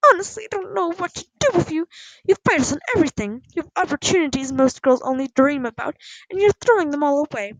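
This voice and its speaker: A woman voicing an overwrought, self-pitying middle-aged mother in a storybook, scolding in a shrill, emotional way. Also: big pitch swings, moderate pace, no echo, low-quality recording. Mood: tearful reproach.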